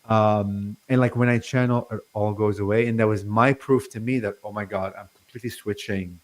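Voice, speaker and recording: Brief: man, forties, born in Lebanon, halting speech with a stutter, remote guest. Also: on the low side, fairly even intonation. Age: 40s. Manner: halting, many fillers and restarts, stutters. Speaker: guest channeler. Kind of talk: casual interview talk.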